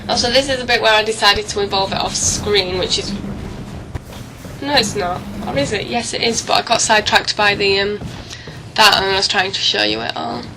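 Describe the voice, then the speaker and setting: A woman's voice: medium pitch, steady tone, normal speed, gravelly, noisy background. A woman getting over a cold gives casual, rambling, apologetic let's play commentary, often correcting herself as she goes. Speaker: gaming video host. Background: music.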